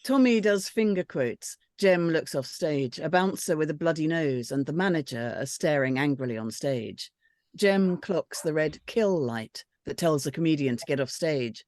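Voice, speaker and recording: A woman's voice: deep voice, expressive, moderate pace, a little mellow, dry recording. A woman reading out screenplay stage directions as scripted narration.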